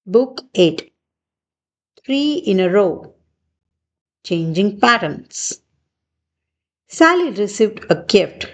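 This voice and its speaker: A woman reading a picture book aloud for young children in deliberate, measured short phrases. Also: mid-range voice. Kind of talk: read-aloud storybook narration for young children.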